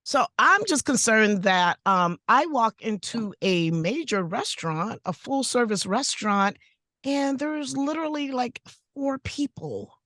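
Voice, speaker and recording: A woman with a naturally raspy voice co-hosting a podcast, animated and exclaiming, often restarting her sentences. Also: on the high side, unhurried, dry recording, clean studio sound. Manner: animated, exclaiming, self-interrupting. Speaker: podcast co-host. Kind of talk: casual podcast chat.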